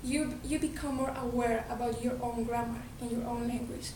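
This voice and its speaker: A woman's voice: on the high side, fairly even intonation, normal speed. A woman from Colombia with a Colombian Spanish accent, a native Spanish speaker giving an earnest talk to an audience and often restarting her words. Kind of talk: presentation to an audience. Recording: echoey hall, distant mic, background noise.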